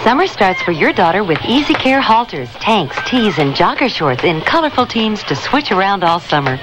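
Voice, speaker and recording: Lively woman announcing a radio commercial. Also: mid-range voice, moderate pace. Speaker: advertisement announcer. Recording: noisy vintage radio ad.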